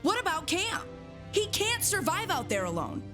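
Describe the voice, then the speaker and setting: An actress playing a loyal, blunt female captain in a sci-fi motion comic, brisk and no-nonsense. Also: extremely high pitch, huge pitch swings, heavily acted. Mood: worried. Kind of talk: animated motion-comic drama acting. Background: music.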